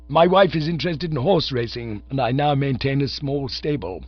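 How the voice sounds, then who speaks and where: A man's voice: mid-range voice, expressive, moderate pace, no echo, voice pushed up, lightly acted. A man voicing a pompous, portly elderly lord who speaks in stately, formal, wordy phrases. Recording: dull lo-fi dry recording.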